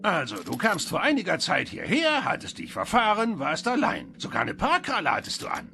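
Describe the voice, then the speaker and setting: A goofy cartoon tow truck, gravelly n bright, bumbling and excitable, some noise behind. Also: male voice, a little high, a little fast, heavily acted. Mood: eager, bumbling. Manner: bumbling, exuberant, theatrical. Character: goofy, eager, simple-hearted talking tow truck. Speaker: voice actor in German dub. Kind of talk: animated cartoon dialogue.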